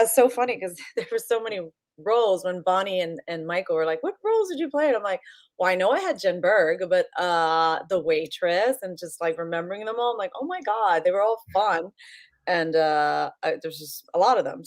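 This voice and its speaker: A woman's voice: on the low side, animated, fairly quick, dry recording. A woman co-hosting a show, chatting casually in a giggly way. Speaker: show co-host. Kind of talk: casual chat.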